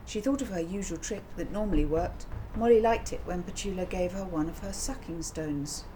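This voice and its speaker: A woman with a slightly low voice narrates a children's audiobook. She tells it expressively and theatrically, drawing out words with deliberate care. There is some background noise on the recording. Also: dark tone, poor audio. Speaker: author reading own book aloud.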